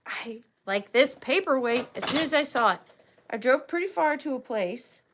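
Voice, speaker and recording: A woman hosting a live vintage sale from her home, talking softly and chattily, sometimes dropping to a whisper. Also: high-pitched, expressive, noisy background. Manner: soft, slow and chatty, drifting into whispers. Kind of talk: live auction selling chat. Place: home studio with ring light.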